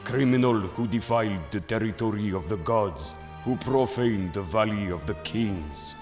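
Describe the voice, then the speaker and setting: A man playing a grave, merciless mystic judge in an audio drama, speaking in an ominous, deliberate manner. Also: fairly low pitch, lively intonation, a little mellow, natural voice. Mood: menacing. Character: solemn, merciless mystic judge passing sentence on souls. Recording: long cavernous reverb with music behind. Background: music.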